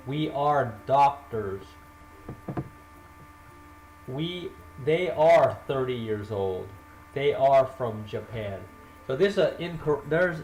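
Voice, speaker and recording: Slow, deliberate male English teacher. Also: medium pitch, a little mellow, a little hiss or hum. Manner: deliberate, repeats drill sentences, self-corrects. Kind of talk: slow spoken grammar lesson. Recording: roomy echo with faint ambience.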